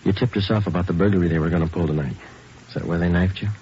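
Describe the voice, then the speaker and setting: An actor playing a terse, deadpan male police detective sergeant in a vintage radio crime drama. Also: sits low, racing, slightly rough. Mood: calm questioning. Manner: clipped, matter-of-fact, deadpan. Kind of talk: 1940s-50s radio police drama acting and narration. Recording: noisy muffled old radio recording with clicks.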